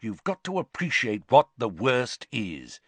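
A man's voice: mid-range voice, sing-song, measured pace, fairly bright tone, dry recording, full character voice. A man voicing a stern, intense old battle-scarred professor with a growl and an emphatic lecturing manner in an audiobook.

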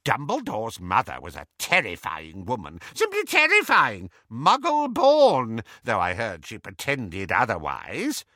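Old witch, malicious and gleeful, shrill cackle, dry close sound; male reader. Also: huge pitch swings, a little slow, few pauses, sharp tone, no echo, exaggerated voice. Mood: gossiping. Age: older. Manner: cackling, needling, high-pitched. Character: shrill gossiping old witch, spiteful and relishing.

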